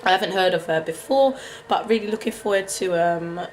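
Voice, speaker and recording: A woman's voice: mid-range voice, fairly quick, a little hiss or hum, poor audio. A woman vlogging casually to camera, chatty and hesitant, her voice still recovering from a cold. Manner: chatty, hesitant with many ums.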